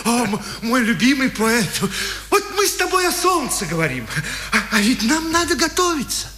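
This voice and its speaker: An actor playing a kindly, excitable old fatherly prisoner, stammering and animated, in a 1950s radio drama. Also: very high-pitched, normal speed, full character voice. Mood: delighted. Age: older.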